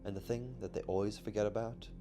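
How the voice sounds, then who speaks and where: Male narrator, low and gravelly, measured scripted read, music bed. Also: steady tone. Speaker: documentary narrator. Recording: music behind the voice.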